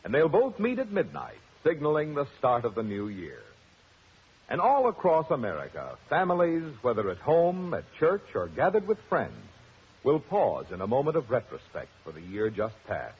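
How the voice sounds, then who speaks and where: A man reading a formal, earnest radio announcement in a measured, stately delivery. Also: medium pitch, huge pitch swings, husky. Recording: muffled 1950s radio broadcast.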